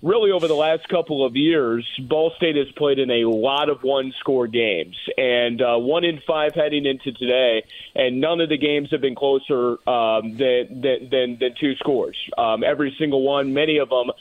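A man calling in as a sports broadcaster, giving conversational game analysis in a halting way, repeating words. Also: mid-range voice, dry recording. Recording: thin phone-line call.

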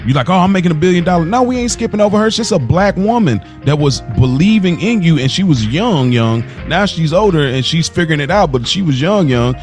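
A man's voice: a little high, lively intonation, brisk, few pauses. American guy podcast co-host, slangy, repeats words to make his point, faint music under him. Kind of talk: casual opinionated discussion.

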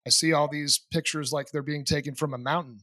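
A man's voice: a little high, steady tone, fairly quick, pushed and bright. A man hosting a podcast interview, asking rambling, chatty questions. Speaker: podcast host. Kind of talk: casual interview.

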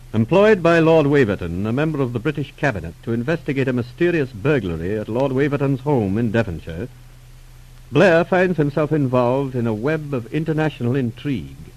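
Male announcer, vintage radio serial: formal, dramatic narration with lively, swooping intonation. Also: medium pitch, rough recording. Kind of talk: scripted narration, 1940s radio.

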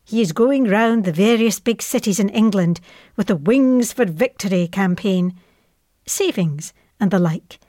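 A woman's voice: mid-range voice, measured pace, mellow, dry recording, clean studio sound, lightly acted. An actress playing an affectionate middle-aged mother in wartime, reading out her chatty, matter-of-fact letter to her airman son. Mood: chatty, fond.

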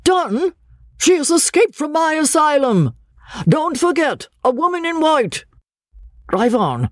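A woman's voice: sits high, very animated, slow, no echo, studio quality, voice pushed up, exaggerated voice. A woman voicing an urgent, commanding male asylum keeper in an audiobook, barking and imperious.

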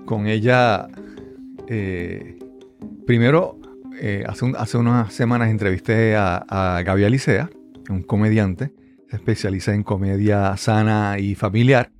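A man's voice: fairly low pitch, fairly even intonation, unhurried, faint music behind. A man hosting a podcast interview, talking conversationally with frequent fillers and restarts. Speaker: podcast host.

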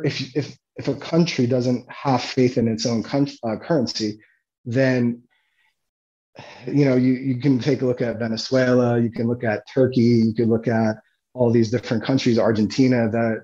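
A man guest in a casual podcast conversation, talking in halting bursts with many restarts. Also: mid-range voice, a little mellow, lo-fi sound.

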